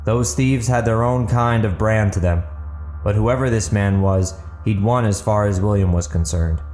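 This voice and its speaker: A man's voice: on the low side, moderate pace, darkish tone. Echoey male narrator, dramatic fiction. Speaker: audiobook narrator. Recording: roomy echo, faint music underneath.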